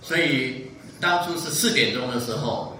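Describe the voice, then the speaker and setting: A man in his seventies from Taiwan, giving a church testimony in short measured sentences. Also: medium pitch, sharp tone. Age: 70s. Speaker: guest speaker giving a testimony. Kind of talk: church testimony in short phrases, paused for interpreting. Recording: echoey church hall, distant mic.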